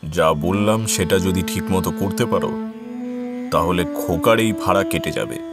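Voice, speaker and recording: A man voicing a grave family priest giving secret counsel in a horror audio drama, speaking in a solemn, measured way. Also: low voice, over loud music, voice pushed down. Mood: grave, secretive.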